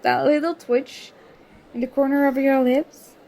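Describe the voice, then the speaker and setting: A woman's voice: high voice, little pitch movement, measured pace, dark tone. A woman acting an indignant, sarcastic young student confronting a former school enemy in an audio roleplay. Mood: accusing, hurt. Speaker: ASMR roleplay performer. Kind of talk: ASMR roleplay acting, one side of a dialogue.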